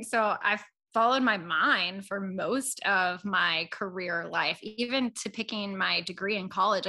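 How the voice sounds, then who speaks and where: A woman's voice: medium pitch, bright, forceful tone. A woman in her thirties, a guest on an interview show, talking in a chatty, self-deprecating way and often laughing at herself. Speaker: podcast guest. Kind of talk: casual conversational interview.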